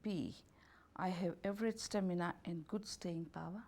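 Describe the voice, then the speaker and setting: A woman with a gravelly, mellow voice reads a questionnaire aloud. She takes each lettered option in turn at an unhurried pace. Her grammar is slightly off now and then, like a second-language speaker. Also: lowish voice, fairly even intonation. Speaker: holistic health and beauty therapist. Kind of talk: reading out a questionnaire.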